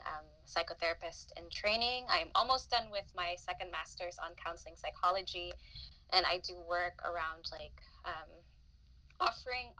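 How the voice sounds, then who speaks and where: Bright-voiced Filipina woman, thoughtful. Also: on the low side, low-quality recording. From Philippines. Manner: thoughtful, with frequent fillers and restarts. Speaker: podcast guest, psychotherapist in training. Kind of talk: reflective interview talk.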